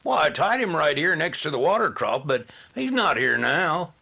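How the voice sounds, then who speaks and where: An actor playing a helpful, easily flustered village blacksmith who stammers a little in a radio drama. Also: male voice, on the high side, very animated, moderate pace, vocal fry, pushed and bright, breathy edge, heavily acted. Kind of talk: old-time radio drama acting.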